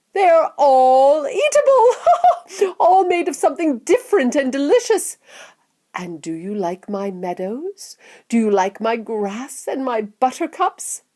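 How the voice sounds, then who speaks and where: An exuberant, eccentric gentleman candy maker from a children's storybook, acted out by a woman. He shows off proudly with theatrical, drawn-out words. The pitch is high and leaps about freely. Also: exaggerated voice. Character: exuberant eccentric male candy factory owner, proudly showing off.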